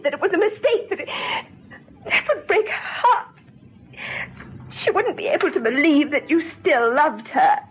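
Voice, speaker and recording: An actress playing a despairing young mother in an old radio drama, bitter yet gentle, speaking in an earnest, halting way. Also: very high voice. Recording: dull, faintly noisy old radio recording.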